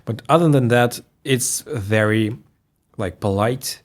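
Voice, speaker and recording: A man from Russia whose first language is Russian, chatting as a guest, pausing to search for words. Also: medium pitch. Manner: thoughtful, searches for words, laughs lightly. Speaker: guest, video creator. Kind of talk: casual conversation.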